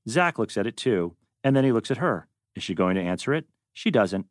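A man narrates screenplay directions at a table read. His medium-pitched voice is highly varied in intonation, and the sound is dry. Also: big pitch swings, brisk, no room sound.

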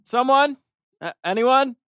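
A man's voice: extremely high pitch, lively intonation, takes it slowly, pushed and bright, clear, resonant tone, exaggerated voice. A man acting a panicky, awkward teenage boy in a TV drama table read. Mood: panicked, timid.

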